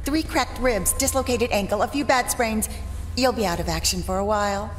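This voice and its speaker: A woman's voice: mid-range voice, moderate pace, lightly acted. A woman playing a cool, self-assured cat burglar in an audio drama, wry and teasing. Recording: reverberant room, faint music.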